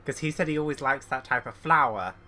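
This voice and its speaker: A woman's voice: very deep voice, fairly quick, bright, forceful tone. A woman streamer giving casual reactions while playing a game. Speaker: gaming streamer.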